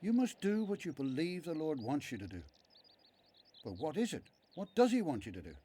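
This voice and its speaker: Man playing a gentle elderly father, expressive. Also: a little high, brisk, slightly rough. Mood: gentle, frank. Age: older. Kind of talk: radio Bible drama acting.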